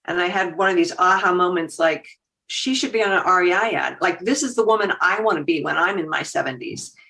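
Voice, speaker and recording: A woman in her fifties with a slightly low voice talks with a guest's easy energy. She laughs often and acts out her own thoughts in little quoted asides. The tone is casual and conversational. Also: a little fast, pushed and bright. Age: 50s. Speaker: guest, agency founder.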